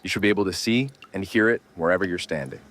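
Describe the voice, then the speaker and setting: A man playing a gruff, world-weary survivor who takes charge in an audio horror drama. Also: low-pitched, quick pace, fairly bright tone, a little hiss or hum. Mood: determined.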